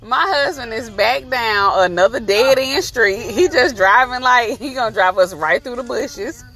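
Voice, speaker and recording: A woman's voice: a little high, unhurried, a bit bright. Chatty woman vlogging from a car. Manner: chatty, laughs often, Southern-style casual speech. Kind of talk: casual travel vlog chat. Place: in a moving car.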